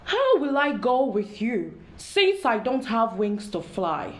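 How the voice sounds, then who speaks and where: Woman playing a slow-talking, cunning storybook tortoise. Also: on the high side, sing-song. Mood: pleading. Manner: formal, persuasive, speechifying. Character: cunning, greedy male tortoise, smooth-talking trickster.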